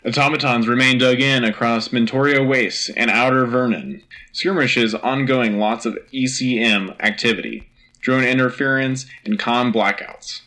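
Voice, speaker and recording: A man's voice: mid-range voice, normal speed, sharp tone, close to an everyday voice, poor audio. A man playing an earnest war news anchor on a scripted radio drama, delivering bulletins in a clipped, solemn, dramatic way. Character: Joe Turner, earnest propaganda-era war news anchor. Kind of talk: scripted wartime news broadcast acting.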